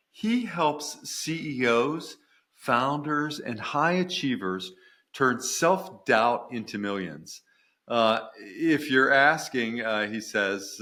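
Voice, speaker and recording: Bright-toned podcast host with halting delivery. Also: male voice, on the low side. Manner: warm, hesitant, many "uh" fillers. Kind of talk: podcast introduction. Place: remote call.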